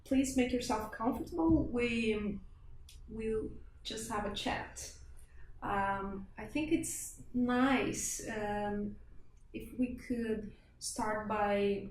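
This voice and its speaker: A woman hosting a casual interview, talking in a relaxed, halting way with many fillers. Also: on the high side. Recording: echoey room, distant mic, faint background noise.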